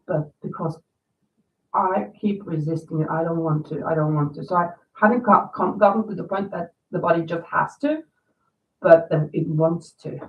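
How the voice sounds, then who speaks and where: A woman in her fifties chats loosely and rambles, her voice a little nasal and raspy from a lingering cold. She sits some way from the mic. The sound is thin like a phone line and echoes in the room. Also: on the low side, far from the mic. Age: 50s. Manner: chatty, rambling, reads viewer comments aloud. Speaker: crochet livestream host. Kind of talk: casual livestream chat. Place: home.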